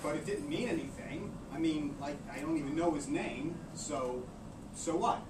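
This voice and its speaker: A cheeky, sulky young guy acted by a man, slangy and blunt, with a slightly high voice. He is part of a dramatised story reading, heard from a distance in a roomy space. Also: normal speed, close to an everyday voice. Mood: sulky, defensive. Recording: roomy, distant, noisy amateur recording.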